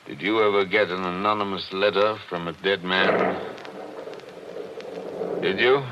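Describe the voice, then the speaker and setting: An actor playing an uneasy, brooding New York attorney in 1940s radio drama style, with a formal, haunted manner. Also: male voice, low-pitched, steady tone, unhurried, sharp tone. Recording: muffled, noisy 1940s radio recording.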